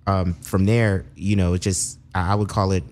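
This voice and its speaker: A man from Seattle, a musician guest in a radio studio, chatting in a relaxed way, full of "you know". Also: low voice, moderate pace. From Seattle, Washington. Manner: easygoing, lots of fillers like "you know". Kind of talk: relaxed interview conversation.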